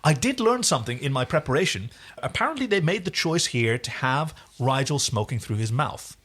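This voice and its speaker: A man's voice: mid-range voice, big pitch swings, brisk, fairly bright tone, husky. A man co-hosting a podcast, casually recapping a TV episode in a jokey, digressive way. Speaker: podcast co-host.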